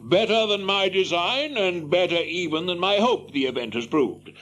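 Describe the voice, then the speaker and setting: An actor playing a wise, cryptic old wizard in a radio fantasy drama, theatrical and deliberate. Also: male voice, sits high, expressive, a bit bright. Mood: musing, mysterious.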